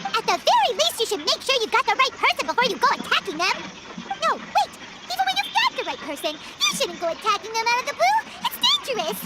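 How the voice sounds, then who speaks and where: A woman voicing a tiny, childlike and excitable fairy companion with a squeaky voice in a video game. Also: fast talker, heavy background noise, full character voice. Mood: indignant. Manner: speaks of self in third person, exclaiming. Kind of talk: English video game voice acting. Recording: game music behind the voice.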